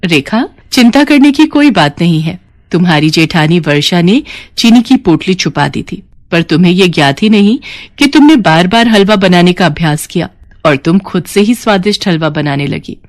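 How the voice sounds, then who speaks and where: A woman's voice: on the low side, lively intonation, normal speed, no room sound, natural voice. A woman voicing a kindly, wise fairy who reassures and instructs formally in a fairy tale. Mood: reassuring, wise.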